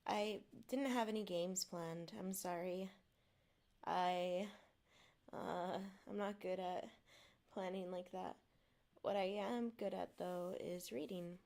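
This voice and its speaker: Gravelly low-voiced woman, non-native English speaker. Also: fairly even intonation, slow, a little mellow. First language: not English. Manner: apologetic, rambling, self-deprecating. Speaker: streamer reading a book aloud. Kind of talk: casual stream chat and read-aloud narration.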